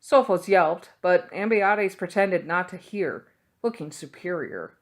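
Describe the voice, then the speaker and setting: A woman's voice: on the low side, expressive, unhurried. A woman reading a novel aloud as scripted audiobook narration. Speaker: audiobook reader.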